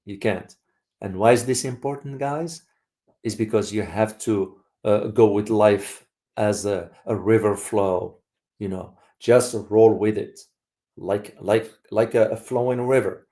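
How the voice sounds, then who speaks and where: A man from Morocco hosting a casual live community discussion, speaking haltingly and often repeating his words. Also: on the low side, mellow. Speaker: host.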